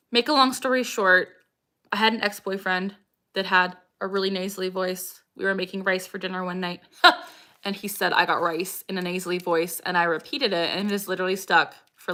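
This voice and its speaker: Bright-voiced young woman chatting with viewers. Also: mid-range voice. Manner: frequent vocal tics and bursts of laughter; chatty, greets viewers by name. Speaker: livestream host. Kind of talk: casual livestream Q&A, reading chat aloud.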